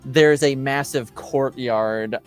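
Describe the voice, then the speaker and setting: A man improvising narration as game master of a tabletop game, in halting, hesitant phrases. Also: medium pitch, faint music behind.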